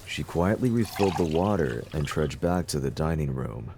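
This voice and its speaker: A man's voice: very deep voice, animated, normal speed, vocal fry, noisy background. A man narrating a scripted story as the narrator of an audio drama.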